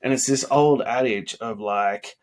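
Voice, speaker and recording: A man's voice: medium pitch, unhurried. A congested, tired man in his thirties giving an earnest, rambling motivational talk late at night. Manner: earnest, rambling, many fillers. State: congested and sniffly. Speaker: vlogger.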